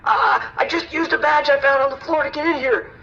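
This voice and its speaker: Man acting high, tense panicked passenger on radio. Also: fairly even intonation, fairly quick, pushed and bright, exaggerated voice. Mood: shaken. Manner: hesitant, stammering, alarmed. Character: panicked, helpless airline passenger in a cockpit. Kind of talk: audio drama acting. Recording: tinny lo-fi cockpit radio call.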